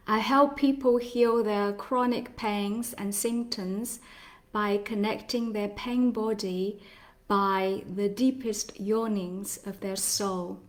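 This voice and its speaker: A woman in her forties, originally from China, talks as a healer in a live online session. She speaks slowly and gently, pausing often and laughing softly now and then. Her voice sits at an ordinary middle pitch. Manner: slow, gentle, with pauses and soft laughs. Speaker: somatic healer giving a live talk.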